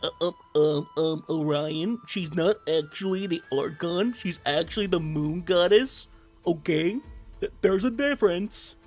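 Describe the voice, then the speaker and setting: A man's voice: on the high side, a little slow. A man livestreamer chatting casually with viewers in a rambling, conversational way. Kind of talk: casual chat with stream viewers. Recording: music playing behind the voice. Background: background music.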